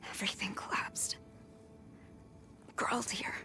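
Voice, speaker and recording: An actress playing a weak, dying caravan survivor in a video game, breathless and speaking in halting fragments. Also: female voice, bright, forceful tone, whispered. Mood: weak, frightened. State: weak, breathless, barely whispering. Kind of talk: video game cutscene acting.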